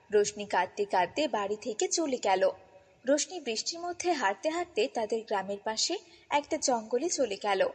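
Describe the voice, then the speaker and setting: A woman's voice: fairly high pitch, breathy edge, long reverb tail. A woman narrating a scripted children's fairy tale as a storyteller.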